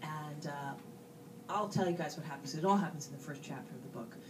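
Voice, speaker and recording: A woman's voice: deep voice, brisk, recorded from a distance, background noise. A woman author telling a rambling, jokey story to an audience at a bookstore event, breaking off and restarting as she goes. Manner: rambling, self-interrupting, jokey. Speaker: author on a panel.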